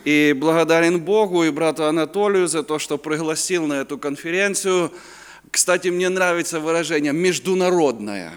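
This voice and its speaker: A man's voice: a little high, clear, resonant tone. Ukrainian-accented man preaching in an echoing hall. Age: 50s. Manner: chatty, joking, searching for words. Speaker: guest preacher. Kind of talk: sermon at a youth conference. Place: conference hall. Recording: reverberant hall.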